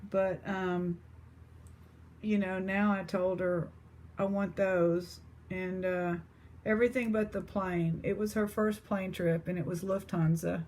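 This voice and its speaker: A woman's voice: on the low side, steady tone, slow pace, a little mellow. A woman with an East Texas accent chatting casually to her viewers as an online seller. She rambles, with lots of fillers and restarts. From East Texas, USA.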